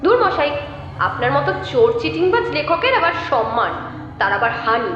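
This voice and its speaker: A woman's voice: sits high, sing-song, moderate pace, a bit bright. A woman playing a sarcastic hostess who taunts a writer with false politeness in a horror audio drama. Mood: sneering. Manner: sarcastic, feigned politeness, needling rhetorical questions. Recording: heavily reverberant dull hall sound, music behind.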